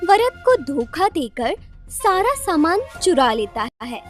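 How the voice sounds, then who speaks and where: A woman's voice: very high-pitched, big pitch swings, takes it slowly, faint music behind. A woman narrating a scripted moral story in storybook style.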